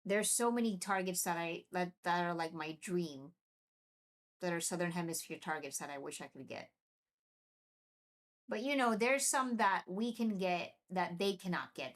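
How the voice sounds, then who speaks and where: Chatty woman live-streaming from telescopes. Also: mid-range voice. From Venezuela. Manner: chatty, excited, answers chat comments. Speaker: livestream host. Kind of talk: casual live-stream chat with viewers.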